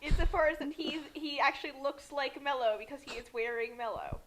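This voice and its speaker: A woman's voice: high voice, steady tone, a little fast, fairly bright tone, slight background noise, rough recording. A woman giving casual reaction commentary on a story as it is read.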